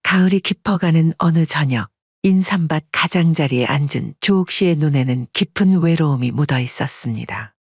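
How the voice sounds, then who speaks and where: Calm, husky male storyteller. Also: a little high, no room sound, lo-fi sound. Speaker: storyteller narrator. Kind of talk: scripted audiobook narration.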